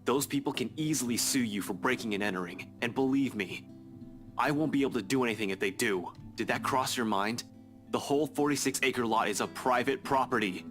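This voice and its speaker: A man's voice: medium pitch, fairly quick, a bit bright, soft background music, close to an everyday voice. An actor playing a stern, exasperated young man in a visual novel, chiding and serious in manner. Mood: stern, irate. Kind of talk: dramatic visual novel voice acting.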